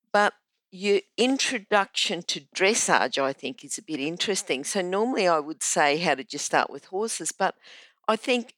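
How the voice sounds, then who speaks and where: A woman's voice: lowish voice, fairly bright tone, no echo. A woman hosting an interview, asking questions in a hesitant way and rephrasing them as she goes. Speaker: interviewer, host.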